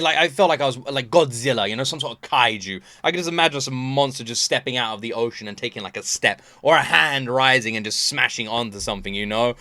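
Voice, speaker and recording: A man hosting a reaction video, talking in a casual, chatty and emphatic way with excitable commentary. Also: medium pitch, no room sound. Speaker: reaction channel host.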